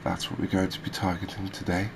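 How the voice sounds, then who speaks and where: A man hosting a gaming video, giving soft ASMR commentary in a halting, hesitant manner. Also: low-pitched, creaky. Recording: steady background noise.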